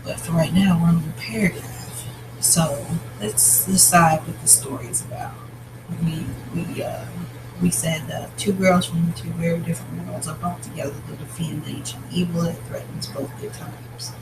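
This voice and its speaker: A novelist with a raspy, scratchy voice talks through a story outline in a casual vlog, thinking aloud with pauses and restarts. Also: mid-range voice, steady tone. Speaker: novelist outlining their book.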